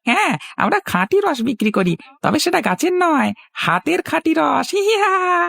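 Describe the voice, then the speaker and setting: Creaky, excitable cartoon sidekick played by an actor. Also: sits high, moderate pace, no echo, heavily acted. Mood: cheeky. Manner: excitable, blurting, giggling. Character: sly, blunt-tongued sidekick of a village juice cheat. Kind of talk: cartoon voice acting.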